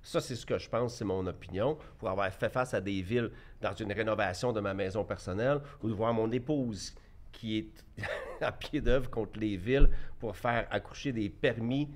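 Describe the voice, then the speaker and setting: A man's voice: fairly low pitch, normal speed. A man in his fifties with a Québécois accent, giving his blunt opinions as a guest in a debate, often restarting his sentences. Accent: Québécois French. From Quebec. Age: 50s. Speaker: guest, entrepreneur. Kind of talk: debate interview.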